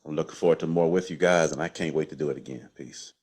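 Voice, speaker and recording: A man with a husky voice giving a heartfelt thank-you message. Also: very deep voice, steady tone, fast talker, darkish tone.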